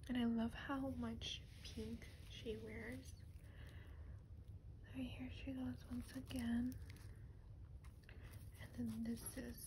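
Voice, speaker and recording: A woman speaking softly and breathily, often close to a whisper, in a gentle, casual ASMR show-and-tell. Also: on the high side, level tone, slow, pauses often. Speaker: doll ASMR video creator. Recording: clear background noise, lo-fi ambience.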